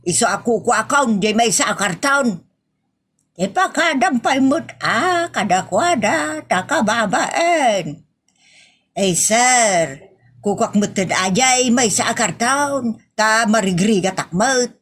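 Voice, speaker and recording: A woman's voice: on the high side, animated, slow, a bit bright, breathy edge, heavily acted. An Ilocano-accented woman playing a shameless, wheedling, whiny poor village woman in a comedy audio drama. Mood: demanding, whiny.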